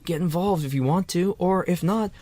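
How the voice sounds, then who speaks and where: A man's voice: high-pitched, moderate pace, warm tone. A man co-hosting a podcast in casual chat, laid-back, swearing casually and chiming in often. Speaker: podcast co-host.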